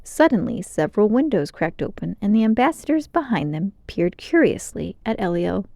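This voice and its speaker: Expressive woman storytime narrator, dry sound. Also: mid-range voice, moderate pace, gravelly, no echo. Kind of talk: expressive children's audiobook narration.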